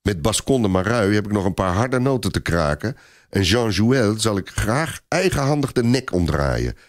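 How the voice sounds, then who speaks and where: Man playing a gruff, unyielding medieval war commander. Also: lowish voice, big pitch swings, normal speed. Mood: grim, vengeful. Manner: unyielding, snapping.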